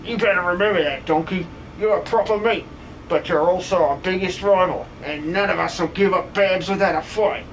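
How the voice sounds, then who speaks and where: High-pitched miner bloke acted by a man. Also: normal speed, slight background noise, poor audio. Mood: earnest, warning. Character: gruff, loyal working-class male miner, protective and matey. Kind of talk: comic fan dub of a video game.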